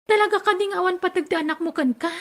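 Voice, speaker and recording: An actress playing a dutiful, self-sacrificing young aunt in an Ilocano radio drama, with an Ilocano accent. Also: female voice, extremely high pitch, quick pace. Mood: reproachful.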